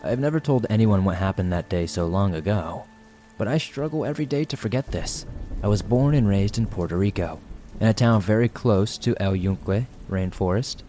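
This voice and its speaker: Man, scripted horror narration, very low pitch, dark mellow tone, soft music and rain underneath. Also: normal speed. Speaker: horror story narrator, show host.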